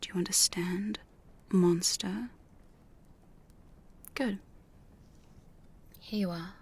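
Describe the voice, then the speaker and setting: Woman acting a slow, whispering, domineering vampire huntress. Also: medium pitch, fairly even intonation, a little mellow, heavily acted. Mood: bossy, condescending. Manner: slow, breathy, seductive, commanding. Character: domineering, teasing female vampire hunter with hypnotic powers. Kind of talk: ASMR roleplay, mostly whispered. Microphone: close studio condenser microphone.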